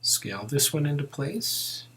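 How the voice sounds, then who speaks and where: A man giving an informal step-by-step software tutorial, thinking aloud as he works. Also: a little high, sing-song, a little slow.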